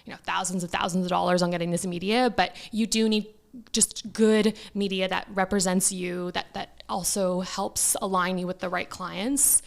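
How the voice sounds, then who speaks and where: A Canadian woman with a slightly low voice that often crackles into vocal fry. She talks casually, like a guest in an interview. Her manner is chatty, and she slips into quoting people as she explains things. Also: steady tone, normal speed. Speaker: talent agent, interview guest.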